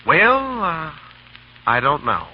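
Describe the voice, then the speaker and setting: An actor playing a stubborn, sly suitor, husky and teasing, in 1930s radio comedy. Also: male voice, fairly high pitch, wildly swooping pitch, slow, a little hiss or hum, full character voice. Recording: muffled 1930s radio recording.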